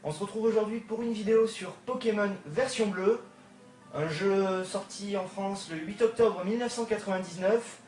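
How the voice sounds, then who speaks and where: A young man hesitantly talks over a video game, pausing on fillers as he goes. he sounds distant and roomy, caught on a phone with no mic, and game music plays faintly behind him. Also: high voice, a little mellow. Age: young adult. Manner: hesitant, filler-heavy narration. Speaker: gaming video maker. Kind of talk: early gaming commentary video. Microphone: phone, no microphone. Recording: old roomy recording with music.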